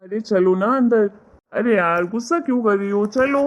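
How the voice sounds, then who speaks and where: A woman voicing a placating, joking husband and son-in-law in an animated family story. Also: mid-range voice, moderate pace, lightly acted. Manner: coaxing, joking. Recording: slightly dull, lo-fi sound.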